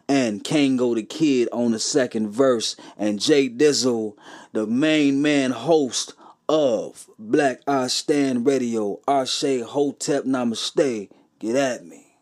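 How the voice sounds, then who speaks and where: A man presents music in a casual way, handing out dedications and shout-outs. His voice sits at an average male pitch.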